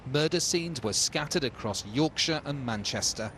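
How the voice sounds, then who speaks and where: A man's voice: fairly high pitch, animated, normal speed, noisy background. A man reading a scripted news report as a reporter.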